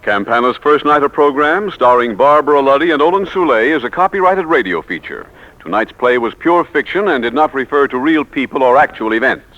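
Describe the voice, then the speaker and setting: A man's voice: medium pitch, expressive, brisk, a bit bright. A man announcing in scripted 1940s radio commercial style. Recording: dull vintage radio recording.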